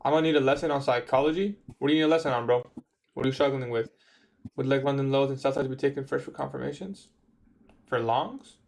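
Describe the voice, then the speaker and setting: A man's voice: mid-range voice, fast talker, vocal fry, darkish tone. A man in his twenties chatting casually on a trading livestream, answering viewer questions in a laid-back, slangy way. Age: 20s. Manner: laid-back, slangy, says bro a lot. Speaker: livestream trader.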